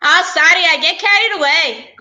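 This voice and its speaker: Woman playing a high-pitched, blustery Irish salesman. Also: normal speed, pushed and bright, exaggerated voice. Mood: blustery, chatty. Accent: stage Irish. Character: garrulous Irish used-car salesman, blustery and flattering. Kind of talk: old-time radio comedy acting.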